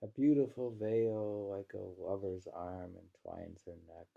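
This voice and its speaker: Deep, slow-talking man reading poetry. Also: vocal fry, mellow, muffled recording. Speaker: poetry reader. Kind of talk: poetry reading with casual commentary.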